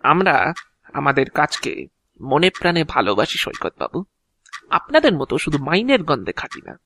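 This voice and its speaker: A man's voice: fairly high pitch, huge pitch swings, normal speed, pushed and bright, no echo, studio quality, full character voice. An actor playing an ancient, talkative agent of Death in an audio drama, explaining his work proudly in a theatrical, officious yet chatty way. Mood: indignant, proud. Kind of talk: Bengali audio drama acting.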